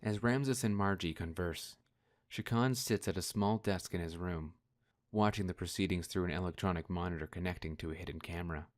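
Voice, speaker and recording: Low-voiced male radio drama narrator. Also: a little fast. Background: faint music.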